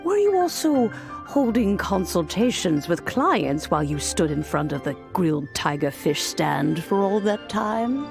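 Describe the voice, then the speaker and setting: An actress voicing a gentle, teasing old granny in a video game, speaking fondly and without hurry. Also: female voice, on the low side, sing-song, vocal fry, over music, full character voice. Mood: gently teasing. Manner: unhurried, fond, gently teasing.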